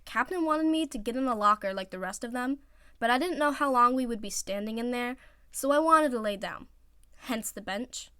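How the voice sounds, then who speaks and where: A woman's voice: on the high side, lively intonation, normal speed, fairly bright tone, clean tone, no echo, studio quality. A woman voicing an unbothered younger teenage boy teammate, speaking matter-of-factly in a story reading.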